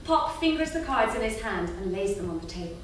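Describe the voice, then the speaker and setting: A woman's voice: medium pitch, very animated, brisk. A woman reading stage directions as scripted narration at a staged drama reading. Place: theatre stage. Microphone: distant room mic. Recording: echoey theatre room, distant mic, hiss.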